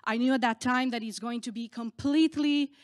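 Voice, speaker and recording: A middle-aged woman, a native Romanian speaker, giving a candid, earnest personal story from a conference stage into a podium microphone, a little halting. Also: on the high side, dry recording, studio quality.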